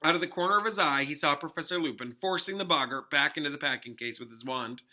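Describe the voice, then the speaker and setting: A man narrates a storybook with expression, as a teacher reading to a class would. His voice is very bright and forceful, pitched slightly high. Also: fast. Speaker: teacher reading a novel aloud.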